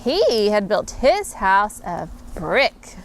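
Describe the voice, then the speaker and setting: A woman, a mother, reading a picture book aloud to her children in an animated, expressive way with playful emphasis. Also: mid-range voice, slow pace, a little hiss or hum. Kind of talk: storybook read-aloud.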